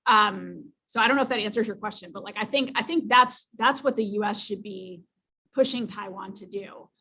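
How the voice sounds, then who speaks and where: A woman's voice: mid-range voice, moderate pace, bright, forceful tone, breathy edge. A woman answering questions at an academic seminar, talking conversationally and filling her sentences with "you know" and "like". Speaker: seminar speaker.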